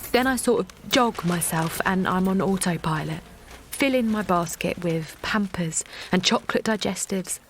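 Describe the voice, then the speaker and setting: An actress playing Linda, an exhausted young mother, who narrates and talks in a halting, apologetic way. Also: fairly low pitch, a little hiss or hum, close to an everyday voice. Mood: weary. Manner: halting, apologetic, often softly spoken. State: tired, overwhelmed. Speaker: narrator and lead character. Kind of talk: audio drama acting.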